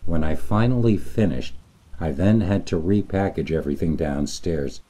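A man reading scripted scary stories as a host, in a theatrical, deliberate storytelling manner. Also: low voice, warm tone, breathy edge. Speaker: horror story narrator and channel host.

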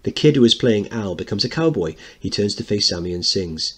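Man, teacher, lower pitch, husky, narrating and commenting, rhetorical questions. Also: expressive, fairly quick. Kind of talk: dramatic reading with explanatory commentary.

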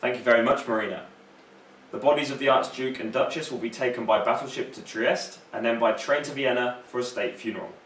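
A man's voice: mid-range voice, a little fast, a bit bright. A young man presenting scripted news as an anchor, recorded in a room from some distance. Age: young adult. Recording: roomy, distant mic, faint noise.

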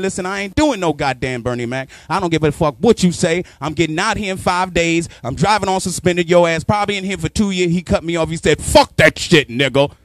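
A man in his thirties from New York doing a stand-up routine into a handheld mic in a small studio, loud and animated, shouting his punchlines. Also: fairly high pitch, dry recording. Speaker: stand-up comedian. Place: small studio with sponsor backdrop. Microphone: handheld microphone.

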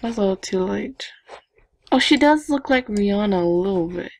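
A young woman chatting casually to viewers while she builds a game avatar, talking to herself with giggly asides in a low evening voice. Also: lively intonation, normal speed, no room sound. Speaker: gaming vlogger. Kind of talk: casual screen-recording commentary.

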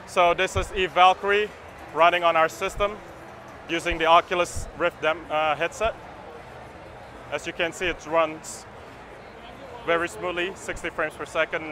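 A man's voice: a little high, pushed and bright, a little hiss or hum. A man in his thirties explaining a product at a trade show booth, earnest and agreeable, often repeating words as he explains. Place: busy expo hall floor, indoors. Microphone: camera mic, handheld close.

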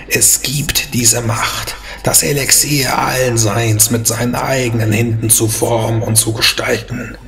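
A man's voice: mid-range voice, fairly bright tone. A man playing a cold, ambitious, sinister warlock lord who speaks solemnly in a fantasy story. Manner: slow, solemn, scheming. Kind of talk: dramatic fantasy story reading.

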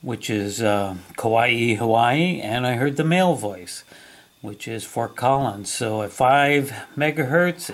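Male hobbyist demonstrating gear casually, slow and plain-spoken, heavy shortwave hiss throughout. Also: mid-range voice. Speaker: shortwave radio hobbyist presenter. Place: indoors at a wooden table. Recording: loud radio static and hiss. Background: shortwave radio static, tones and ticking.

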